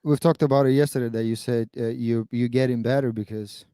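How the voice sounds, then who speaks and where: A man's voice: medium pitch, steady tone, a little fast, mellow, clean tone, no echo. A man in his thirties from Kazakhstan whose first language is Russian, chatting casually and hesitantly on a stream, with many fillers and restarts. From Kazakhstan (then USSR). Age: 30s.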